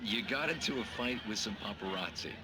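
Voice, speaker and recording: A man's voice: lowish voice, expressive, a little fast, noisy background, natural voice. An actor playing a glib late-night talk show host, showy, blunt and joking with his guest. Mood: breezy, teasing.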